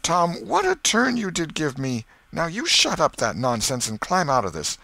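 A man voicing an old aunt who is brisk, flustered and sharply scolding. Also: fairly low pitch, very animated, creaky, sharp tone, breathy edge, no echo, heavily acted. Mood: relieved scolding.

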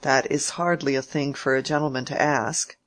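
A proud young gentleman who stays formal and courteous, as a storybook reader voices him. His speech is measured and well-mannered, with a sulky edge. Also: mid-range voice, fairly even intonation, lightly acted. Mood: polite defensive. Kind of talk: audiobook character reading.

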